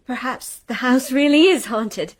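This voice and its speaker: High-voiced, inquisitive wife. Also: female voice, slow. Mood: anxious, probing. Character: Mary Boyne, inquisitive, playful yet anxious American wife. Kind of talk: radio drama acting.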